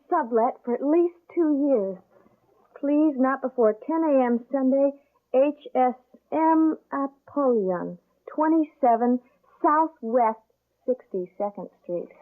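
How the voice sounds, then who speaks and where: A woman's voice: sits high, expressive, a little slow. An actress playing an eager, thrifty young wife in her twenties in a 1970s radio drama. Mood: eager, hopeful. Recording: tinny band-limited vintage radio broadcast.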